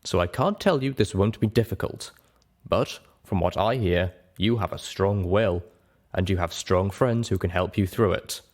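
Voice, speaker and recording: A man voicing a calm, frank pony doctor in a dramatized audiobook, measured and matter-of-fact. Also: low voice. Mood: grave, advising. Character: calm, frank pony doctor giving grave medical advice.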